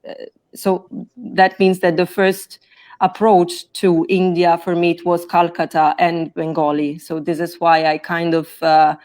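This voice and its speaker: A woman's voice: fairly low pitch, little pitch movement, measured pace, a little mellow. A woman from Italy with an Italian accent, explaining her academic path carefully as a guest on a video call. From Naples, Italy. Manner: careful, explanatory, restarts to rephrase. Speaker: scholar, guest. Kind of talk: explaining in an interview.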